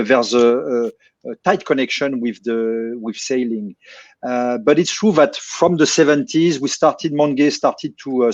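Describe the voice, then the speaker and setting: A man from France with a French accent, explaining things casually and haltingly on a video call. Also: mid-range voice, no room sound. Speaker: managing director of a rum distillery. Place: his office, on a video call. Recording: dull video-call audio.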